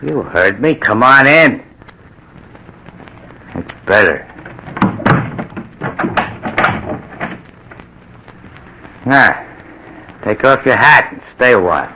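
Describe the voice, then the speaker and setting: A tough, bright-voiced ruthless burglar. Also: male voice, mid-range voice, sing-song, slow, pauses often, slight background noise, full character voice, low-quality recording. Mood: hard, commanding. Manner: tough, slangy, bullying. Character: ruthless, menacing male burglar and killer. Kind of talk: old-time radio crime drama acting. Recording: vintage radio drama recording.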